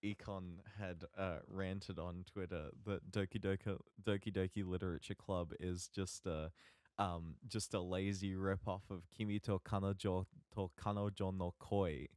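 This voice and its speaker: Deep-voiced, unhurried man on a podcast. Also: little pitch movement, darkish tone, dry recording, clean studio sound. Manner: halting, searching for words. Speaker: podcast co-host. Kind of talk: casual podcast chat.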